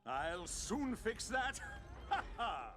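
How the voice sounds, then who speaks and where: An actor playing a bombastic old-time gentleman adventurer in a video game, pompous and theatrical. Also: high-pitched, sing-song, drawn-out words, faint music behind, full character voice. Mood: wistful, eager. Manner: pompous, grandiose, exclamatory.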